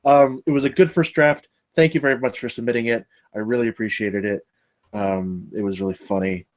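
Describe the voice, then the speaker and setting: A man's voice: medium pitch, steady tone, fairly quick. Chatty guy w mid voice giving script notes, kinda rambly, keeps cutting himself off. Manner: rambling, chatty, self-interrupting. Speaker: table read participant giving script feedback. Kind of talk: casual spoken critique.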